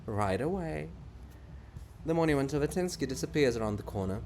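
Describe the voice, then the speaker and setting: A man serves as the reader of a play, giving scripted stage narration. His delivery is subdued and measured, set apart from acted dialogue. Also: medium pitch, lively intonation, darkish tone. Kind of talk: dramatic reading, scripted narration.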